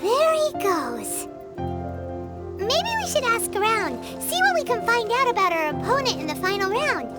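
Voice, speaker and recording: A woman's voice: very animated, normal speed. A woman voicing an eager, tiny female fairy companion who talks about herself by name. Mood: curious. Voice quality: very high, squeaky, bouncy. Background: game music.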